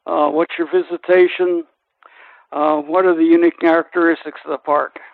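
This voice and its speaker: A man's voice: on the high side, little pitch movement, normal speed, vocal fry, no echo. A man hosting a conversational interview, asking questions and introducing guests. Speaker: interview host. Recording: thin, phone-like radio sound.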